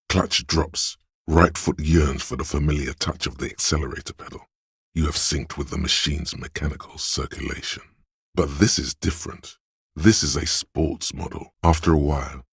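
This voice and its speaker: An actor voicing a man's brooding, tactile inner voice in a game, narrating with husky gravel in a deliberate, dramatic, literary manner. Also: very deep voice, dry recording, clean studio sound, heavily acted. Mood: intimate, absorbed.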